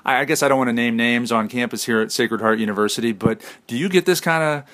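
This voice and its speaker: Brief: Midwestern male host, mid pitch, loose and joking, plenty of uhs. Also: fast, a bit bright. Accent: Midwestern American. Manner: joking, chatty, with filler words. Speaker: interview host. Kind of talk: casual interview questions.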